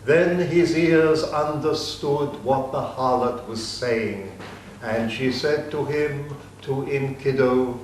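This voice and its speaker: A man narrating an ancient epic in a measured, solemn dramatic reading. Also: medium pitch, slight background noise. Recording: distant mic, echoey room.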